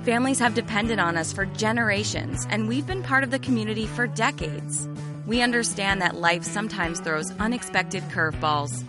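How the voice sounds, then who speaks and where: Female commercial read, polished, mid pitch, with a music bed. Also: moderate pace. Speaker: ad voice-over.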